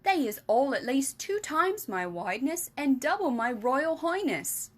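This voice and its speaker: A woman's voice: sing-song, unhurried. A woman reading aloud and voicing a big, kindly giant who mangles his grammar and invents words. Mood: emphatic. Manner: jumbled grammar, made-up words, booming. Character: big friendly giant, kindly, muddled speech.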